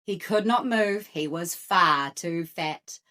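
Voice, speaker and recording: A woman reading a children's picture book aloud with expressive, rhythmic phrasing. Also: on the low side, takes it slowly. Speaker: storyteller.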